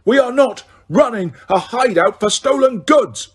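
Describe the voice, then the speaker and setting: A man voicing a furious mother who shouts and scolds at the top of her voice. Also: very high-pitched, animated, unhurried, slightly rough, voice pushed up, exaggerated voice. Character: angry mother shouting at full volume.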